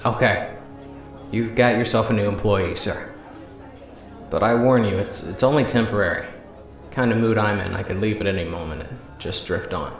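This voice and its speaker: A man playing a steady, moody young former sidekick hero in an audio drama. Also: on the low side, moderate pace, long pauses, reverberant, soft background music, close to an everyday voice. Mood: reluctant, guarded.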